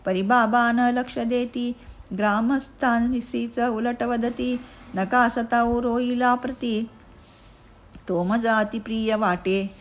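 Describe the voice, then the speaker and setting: A woman recites devotional verse as a narrative. Her voice sits fairly high, and she takes each line very slowly, in measured phrases.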